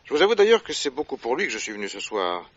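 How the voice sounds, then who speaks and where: Self-assured detective, sly, brisk, medium pitch, radio drama, dry and slightly dull recording. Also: male voice, big pitch swings, no room sound. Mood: assured explaining.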